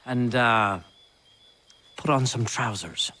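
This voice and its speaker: An actor playing an earnest patriot messenger in a video game, urgent and businesslike. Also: male voice, mid-range voice, takes it slowly, pushed and bright. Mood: urgent, brisk. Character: brisk, earnest male patriot messenger rousing allies. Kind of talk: video game cutscene acting.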